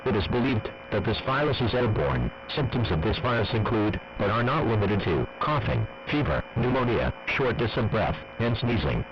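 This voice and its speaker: A synthetic text-to-speech man reading a formal scripted emergency broadcast in a flat, measured, impersonal way. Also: lowish voice. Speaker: emergency alert announcer. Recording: dull lo-fi audio, loud radio noise.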